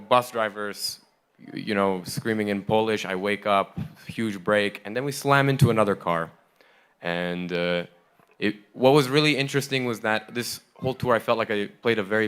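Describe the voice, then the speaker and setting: A man's voice: lowish voice, a bit bright, clear, resonant tone. A man telling an audience about a tour, in a chatty, rambling and enthusiastic way, speaking on stage into a handheld mic. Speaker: tour member giving a report on stage. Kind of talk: casual on-stage talk to a live audience. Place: large hall at a festival, on stage.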